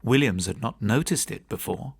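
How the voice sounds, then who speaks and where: A man narrating a classic ghost story as a scripted audiobook, in a measured, literary storytelling manner. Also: on the low side, vocal fry, breathy edge.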